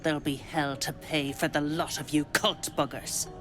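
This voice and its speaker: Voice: medium pitch, level tone, moderate pace, music in the background, natural voice. An actor playing a crude, foul-mouthed dwarf guard in a video game, blunt and mocking. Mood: gruff, threatening.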